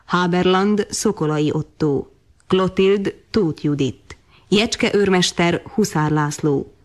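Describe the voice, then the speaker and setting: Formal female radio announcer, lowish voice. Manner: formal, measured listing. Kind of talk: scripted cast credits announcement.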